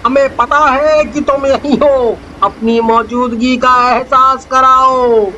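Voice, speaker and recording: A man playing an earnest, bossy young spirit-game player in an animated horror story. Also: extremely high pitch, takes it slowly, dull audio, a little hiss or hum, exaggerated voice. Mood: solemn.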